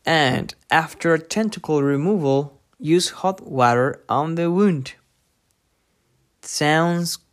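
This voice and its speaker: A podcast host giving an informal lesson from a book, thinking aloud haltingly and repeating difficult words. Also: medium pitch. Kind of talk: informal lesson read from a book with personal asides.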